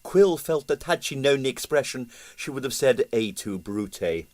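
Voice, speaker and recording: A man narrating a comic novel as an audiobook, with dry, expressive storytelling. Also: medium pitch, moderate pace.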